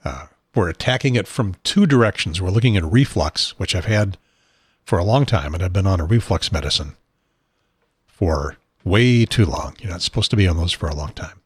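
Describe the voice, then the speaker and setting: A man hosting his own talk show from a home studio desk, rambling and self-deprecating, with a husky, unsteady voice and frequent throat clearing. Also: sits low, expressive, normal speed, dry recording. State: has a probe in his nose. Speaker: podcast host.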